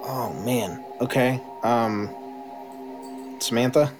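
Slow-talking game master man. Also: medium pitch, steady tone, music in the background. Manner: laid-back, calls for dice rolls. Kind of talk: narrating a tabletop game.

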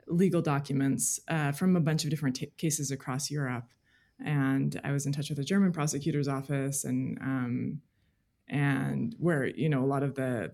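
A woman journalist speaking as an interview guest, explaining things in a measured, detailed, conversational way. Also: low-pitched, a little mellow. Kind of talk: conversational interview, recounting reporting.

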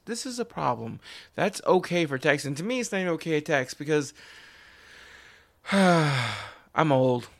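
A man's voice: mid-range voice, fairly bright tone, no echo. A man in his forties hosting a podcast in casual chat, rambling with restarts and wry asides. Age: 40s. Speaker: podcast host.

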